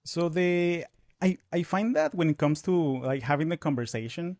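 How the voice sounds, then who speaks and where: Man, thirties, Spanish native speaker, podcast guest, fast rambling talk. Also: fairly high pitch, lively intonation, darkish tone, no echo, clean studio sound. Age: 30s. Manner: fast, rambling, with many restarts and fillers. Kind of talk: casual interview conversation.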